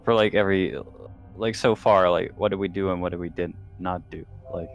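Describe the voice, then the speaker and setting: A man chatting casually as a tabletop game player, in a hesitant, halting manner. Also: low voice, steady tone, highs cut off, faint music behind. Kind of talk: casual chat.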